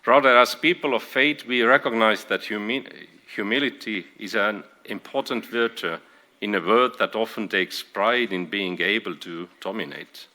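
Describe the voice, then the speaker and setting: Estonian-accented churchman, lowish voice with a bright, forceful edge, formal address. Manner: measured, reads from prepared text with small restarts. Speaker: archbishop. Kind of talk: formal conference speech. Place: conference hall.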